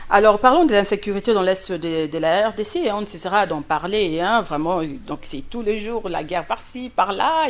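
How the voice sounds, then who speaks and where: A woman hosting a political interview in a conversational way, often pausing on fillers as she builds her questions. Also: mid-range voice. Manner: hesitant phrasing with many drawn-out fillers. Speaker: interviewer, host.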